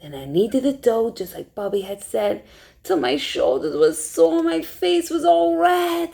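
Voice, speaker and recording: A woman storytime teacher reading a rhyming picture book aloud to young children in an expressive way. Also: on the high side, a little slow, creaky. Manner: expressive, hushed suspense, asks listeners questions.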